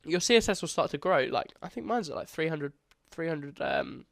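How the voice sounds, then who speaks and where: A young man with a stuffy, congested voice walks viewers through a beginner coding lesson in a loose, chatty way, often restarting his phrases. His voice sits a little high for a man, and the recording is dry and close with no room sound. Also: animated, moderate pace, vocal fry, no room sound. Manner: casual, chatty, self-correcting. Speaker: coding tutor. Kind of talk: screen-recorded coding tutorial.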